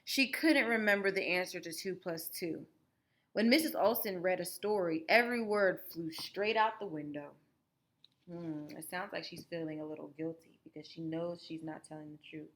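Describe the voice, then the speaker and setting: A woman reading a children's story aloud as a teacher, expressively, pausing to explain it to young listeners. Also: on the low side. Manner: animated, explanatory, guiding listeners through the story.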